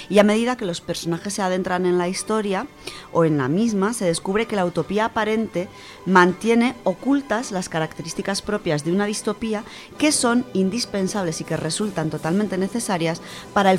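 Low-voiced woman explaining on radio. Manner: thoughtful, with frequent hesitations and restarts. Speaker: radio host presenting a book segment.

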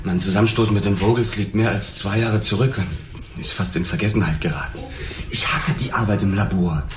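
An actor playing a restless, gruff young policeman in a radio drama. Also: lowish voice, fairly quick, noisy background, close to an everyday voice. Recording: echoey room, music behind.

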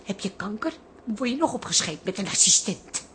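A woman's voice: medium pitch, big pitch swings, moderate pace, fairly bright tone, a little hiss or hum. An actress playing an anxious but feisty middle-aged working-class woman in a radio drama, blunt and plain-spoken. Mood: indignant. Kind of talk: Dutch radio drama acting.

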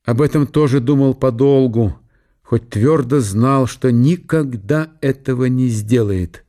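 A man narrating a literary radio play in a measured, storytelling manner. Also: medium pitch, dark tone.

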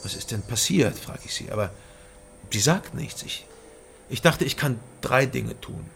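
A man's voice: medium pitch, lively intonation, measured pace, gravelly, a bit bright, slight background noise. An actor playing a weary, guarded East German man who narrates and speaks in a radio crime drama, restrained and hesitant. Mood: guarded, explaining.

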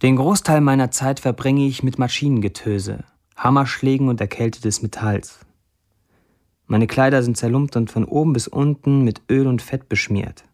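A man's voice: mid-range voice, normal speed, darkish tone, lightly acted. An actor playing a wry man of twenty-nine who reads his blunt first-person posts in a dry radio drama monologue. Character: wry 29-year-old Syrian man narrating his blunt online posts.

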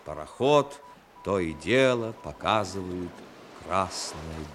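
A man narrating a story in a measured, expressive, dramatic style, as narrator of a 1960s radio play. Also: low-pitched. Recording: 1960s radio recording with music.

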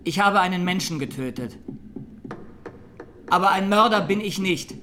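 An actor playing a young man on trial in a radio drama, haunted and resolute, speaking in plain, earnest, deliberate statements. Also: high-pitched, sharp tone, slight background noise. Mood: calm, resolute. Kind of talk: German radio drama, courtroom testimony.

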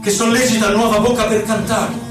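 A man gives a solemn, theatrical poetry recitation, declaiming in drawn-out phrases. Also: high-pitched, flat delivery. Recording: very echoey, distant stage recording with music. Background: prominent violin music.

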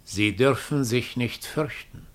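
A man plays a sinister doppelganger who brings a court's death sentence in a radio drama. He is mid-pitched, solemn and formal, declaiming with unbending certainty. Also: slow pace, lightly acted. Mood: eerily calm. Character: implacable murderer doppelganger, sinister messenger of a high court. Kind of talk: German radio play acting.

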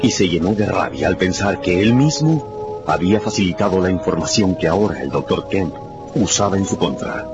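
A man's voice: deep voice, lively intonation, moderate pace. A man narrating a radio drama in a dramatic, scripted storytelling style. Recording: dull sound with music underneath. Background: music.

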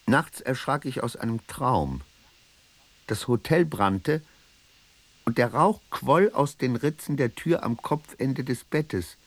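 Radio drama: troubled diary narrator, mid-range voice, animated intonation, literary delivery. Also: male voice, mid-range voice, slow pace, no room sound. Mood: uneasy, intense.

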